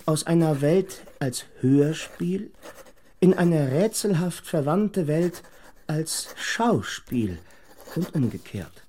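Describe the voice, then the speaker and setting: An actor playing a solemn reciter of philosophical prose in a radio drama, measured and drawn-out. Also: male voice, on the high side, lively intonation, mellow, a little hiss or hum.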